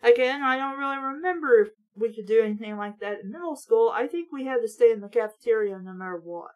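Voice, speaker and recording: A woman's voice: fairly high pitch, fast talker, muffled recording. A woman reading aloud casually with blunt, sweary, sarcastic running commentary in a ranting manner.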